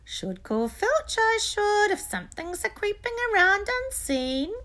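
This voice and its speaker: Mischievous male storybook spirit played by a woman: very high, shrill, sing-song, wheedling. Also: sing-song, unhurried, pushed and bright, full character voice. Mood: taunting, suspicious. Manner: taunting then fawning.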